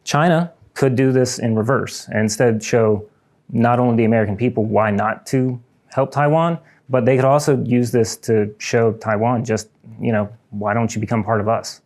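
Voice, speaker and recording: A man's voice: mid-range voice, moderate pace, a little mellow. A young man from Ukraine giving a prepared student lecture in a lecture room, earnest and reading from notes with small restarts.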